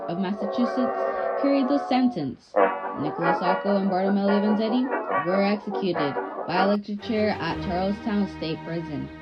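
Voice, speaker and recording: Muffled old-time radio newswoman over music. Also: medium pitch. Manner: theatrical, formal announcer delivery. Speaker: radio show host and news reader. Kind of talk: scripted 1920s-style radio news bulletin. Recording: muffled lo-fi audio with music behind.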